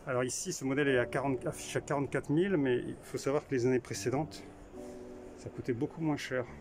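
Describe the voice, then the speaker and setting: A man's voice: medium pitch, quick pace, noisy background. A man casually touring a small camper van at a trade show, thinking aloud with many fillers and restarts, filmed on a handheld camera. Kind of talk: casual walkthrough commentary. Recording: music playing behind the voice.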